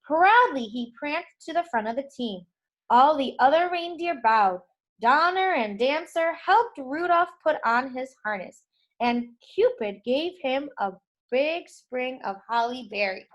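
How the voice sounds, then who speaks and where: A woman reading a children's storybook aloud in a deliberate way for young listeners. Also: high voice, animated, lo-fi sound.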